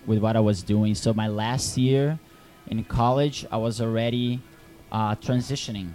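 A man's voice: fairly low pitch, fairly even intonation, normal speed, darkish tone, faint music behind. A young Brazilian man with a Brazilian Portuguese accent, a film director answering interview questions, hesitant with many fillers and restarts.

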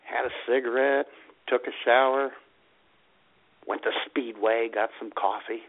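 A man's voice: mid-range voice, fairly even intonation, unhurried. An American man co-hosting a radio show with casual, irreverent comedic banter and frequent exclamations. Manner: irreverent, mildly profane, exclamatory. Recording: tinny band-limited radio sound.

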